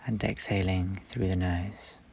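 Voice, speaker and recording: A man leading a guided meditation, speaking slowly and gently in short, soft phrases with long pauses. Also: deep voice, level tone, vocal fry, slightly rough. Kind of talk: guided meditation, instructional and soothing.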